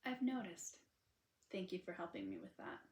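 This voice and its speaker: A woman's voice: lowish voice, huge pitch swings, moderate pace, pitched below the performer's own voice. A woman voicing an earnest, smitten male hockey star in a romance audiobook. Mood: grateful. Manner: earnest, hesitant, trying to sound casual. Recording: unedited roomy home recording.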